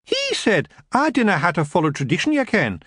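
A man's voice: sits high, huge pitch swings, fairly quick, a bit bright, husky, dry recording, clean studio sound, voice pushed up, heavily acted. A man doing a pouty little Scottish fairy girl. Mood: sulky. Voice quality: wheedling, pouty. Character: sulky young female fairy girl.

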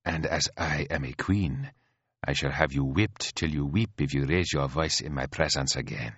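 Man voicing a low, quiet, imperious queen. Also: normal speed, slightly rough, no echo, lo-fi sound, exaggerated voice. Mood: cold, mild threat. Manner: patient, chiding, like a teacher with a naughty pupil. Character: mild, imperious elder queen, calmly menacing.

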